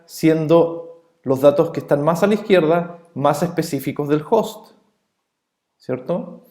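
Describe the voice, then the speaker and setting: A man's voice: a little high, darkish tone. A man from Chile with a Chilean accent, explaining networking as an instructor in a recorded lesson, repeating key terms and checking in with "¿bien?". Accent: Chilean Spanish. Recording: roomy echo.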